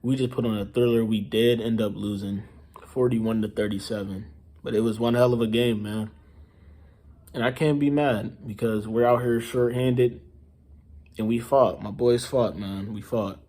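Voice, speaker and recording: A man's voice: medium pitch, steady tone, normal speed, mellow. A tired young man who is losing his voice gives a rambling, casual recap of a football game he watched, filling his talk with "you know". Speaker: sports fan vlogger.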